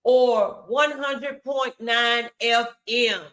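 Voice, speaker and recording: Bright-voiced woman hosting motivational talk. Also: fairly high pitch, steady tone, very slow. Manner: emphatic, encouraging, addresses viewers directly. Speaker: talk show host.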